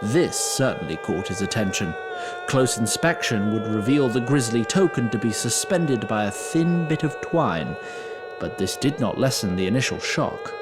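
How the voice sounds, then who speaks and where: A man's voice: lowish voice, lively intonation, normal speed, music in the background. A man narrating a scripted fantasy story as a dry, literary storyteller.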